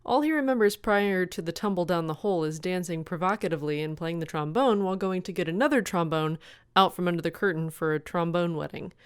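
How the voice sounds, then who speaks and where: A woman narrates a story as a show host. Her voice is on the low side, smooth and clear, recorded dry and close. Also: fast, no room sound. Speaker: co-host and reader of fan fiction.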